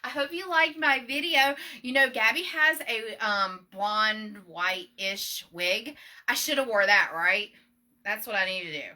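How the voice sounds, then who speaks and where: Loud, chatty Southern woman. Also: on the high side. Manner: loud, fast and chatty, with many ums and okays. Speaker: shop owner hosting a live demo. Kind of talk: casual live product demonstration.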